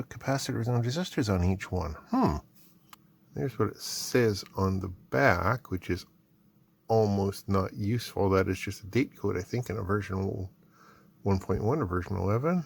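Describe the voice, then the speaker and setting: A man hosting a casual mail-opening video, reading labels aloud, thinking out loud and patiently explaining technical parts. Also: mid-range voice, vocal fry. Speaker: channel host.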